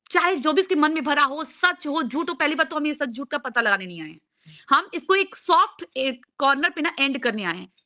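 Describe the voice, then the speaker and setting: Young female live host, high and sharp-edged voice, urging and pleading earnestly in casual conversation. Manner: earnest, insistent, repetitive pleading.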